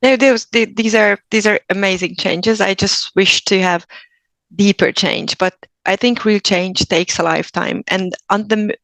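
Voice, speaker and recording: A woman's voice: mid-range voice, steady tone, unhurried, fairly bright tone, no echo. A woman from Hungary with a Hungarian accent, a guest actor speaking earnestly in a casual podcast interview, often restarting her sentences. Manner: earnest, thoughtful, with frequent restarts.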